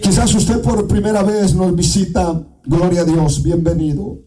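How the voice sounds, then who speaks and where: Guatemalan male preacher, high and impassioned, distant mic, music behind. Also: steady tone, a little slow. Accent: Guatemalan Spanish. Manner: fervent, often shouting and half-singing his phrases. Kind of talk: evangelical sermon. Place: church.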